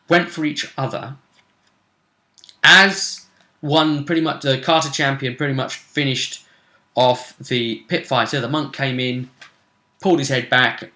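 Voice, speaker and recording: A man co-hosting a casual recap of a tabletop role-playing game, telling it haltingly with dramatic emphasis. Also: medium pitch, sharp tone.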